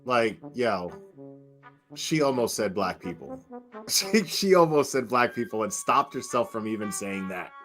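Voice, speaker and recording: A man's voice: on the low side, sing-song, a little slow, fairly bright tone, faint music behind. An American man giving casual, slangy live reaction commentary in a mocking, informal way as a streamer.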